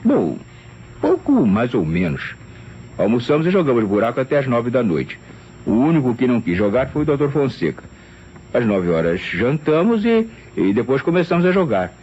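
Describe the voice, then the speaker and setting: A flustered, animated secretary giving testimony. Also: male voice, medium pitch, normal speed, husky. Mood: flustered recounting. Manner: hesitant, stammering, tag questions, animated storytelling. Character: male private secretary, flustered, chatty, deferential witness. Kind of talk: old radio mystery acting. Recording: dull, noisy old radio drama recording.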